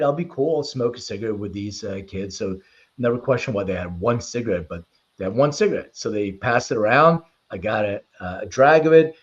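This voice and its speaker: A man in a slightly muffled, lo-fi recording speaks with a mid-range voice as an interview guest. He explains things informally and leans on anecdote, in an easy conversational style. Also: medium pitch. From born in Lithuania, raised in Philadelphia from age six. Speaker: interview guest, precision medicine company leader. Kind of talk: conversational interview answers and personal storytelling.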